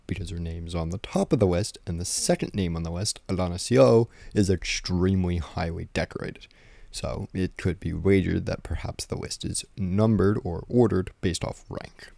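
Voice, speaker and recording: Low gravelly young man narrating. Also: dark tone, dry recording. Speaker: video essay host. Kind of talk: scripted commentary narration. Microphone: close dry voice-over microphone.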